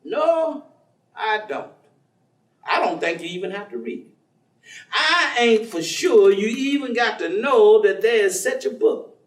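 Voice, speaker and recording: An actor playing a warm, devout, folksy man, teasing and preaching in a conversational staged reading. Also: sits high, animated, measured pace, long pauses. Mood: earnest, reflective. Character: warm, devout, folksy man, witty self-styled outlaw believer. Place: theatre stage. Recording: echoey stage recording from far off.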